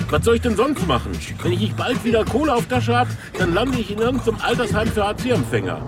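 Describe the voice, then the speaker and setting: A man's voice: high voice, very animated, fast talker, loud music behind the voice. A man acting a brash, grumbling older would-be politician in a satirical radio drama, blunt and colloquial.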